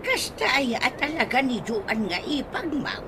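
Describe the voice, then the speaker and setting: A woman playing a hot-tempered, sharp-tongued nagging wife in an Ilocano comedy radio drama. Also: high-pitched, big pitch swings, measured pace, noisy background. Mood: muttering.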